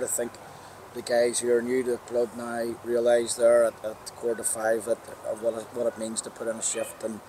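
Northern Irish football manager, flat delivery. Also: male voice, medium pitch. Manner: plain-spoken, upbeat, restarts phrases often. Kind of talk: post-match interview. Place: outdoors at a football ground. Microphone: clip-on lapel mic. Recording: outdoor background noise.

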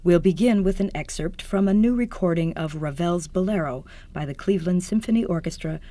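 A man's voice: sits high, a little fast, mellow, lightly acted. A man playing a polite classical music program host, giving a formal scripted radio introduction.

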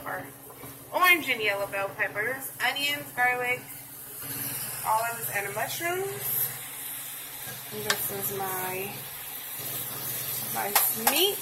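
A young woman talks to her phone camera in a chatty, rambling way, her voice sitting a little high. The recording is noisy, with a clear hiss under her voice. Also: animated, takes it slowly, pauses often. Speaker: vlogger. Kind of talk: casual family vlog. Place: home bedroom and parked car at night. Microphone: phone camera at arm's length.

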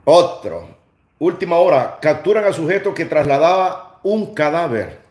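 A man's voice: fairly high pitch, very animated, measured pace, a little mellow. A middle-aged man with a Salvadoran accent, chatting casually as a livestream host and repeating phrases for emphasis. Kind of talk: casual livestream chat and commentary. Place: small room indoors. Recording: roomy indoor echo.

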